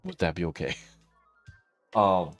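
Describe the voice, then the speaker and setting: A hoarse man, chatting casually with viewers on a livestream and laughing often. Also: deep voice, very animated, slow pace, soft background music. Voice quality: raspy. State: hoarse, losing his voice. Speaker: streamer.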